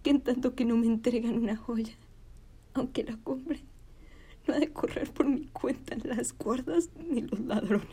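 Girl acting wronged young village woman doing old spanish verse drama, slow n anguished, soft-edged mellow tone, husky, defiant. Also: a little high, exaggerated voice. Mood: anguished, reproachful. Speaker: drama student actor. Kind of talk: classical Spanish verse monologue, theatrical delivery.

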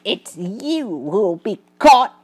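A woman voicing a calm, stern male gentleman detective who speaks formally. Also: fairly high pitch, takes it slowly.

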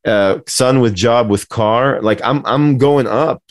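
A deep-voiced man co-hosting a casual podcast chat, teasing lightly and dropping Arabic words into his English. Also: slow pace, dry recording, clean studio sound. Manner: teasing banter. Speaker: podcast co-host.

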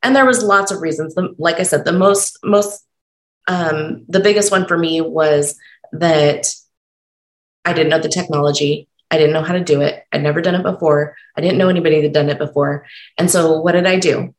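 A woman hosting a solo podcast, talking unscripted and encouraging while stumbling over her words, her voice croaky from being sick. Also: low-pitched, steady tone, moderate pace, reverberant. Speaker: podcast host.

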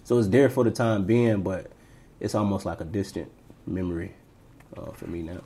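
Brief: man from Tampa, low mellow husky voice, reflective podcast co-host telling his story. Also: moderate pace. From Tampa, Florida, US. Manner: reflective, trails off quietly. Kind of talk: casual interview storytelling.

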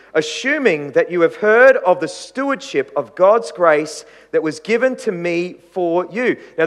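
A man's voice: sits high, fairly bright tone, long reverb tail. A man preaching a sermon in a chatty, conversational way, with jokes and asides to the congregation. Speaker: pastor.

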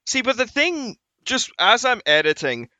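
A man's voice: very high voice, big pitch swings, unhurried, bright, forceful tone, dry recording. A man co-hosting a podcast in casual chat, playfully dramatic about editing. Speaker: podcast co-host.

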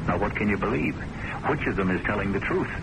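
A man hosting and narrating a vintage radio suspense show in a measured, portentous, rhetorical manner. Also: on the low side, lively intonation, gravelly, heavy background noise. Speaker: host and narrator. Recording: old radio broadcast, loud music bed. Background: dramatic music.

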